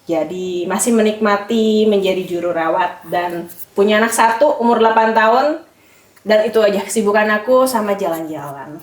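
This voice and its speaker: A woman's voice: mid-range voice, normal speed, far from the mic, a little hiss or hum. An Indonesian woman co-hosting a podcast in casual chat, heard with a roomy echo from away from the mic. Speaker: podcast co-host.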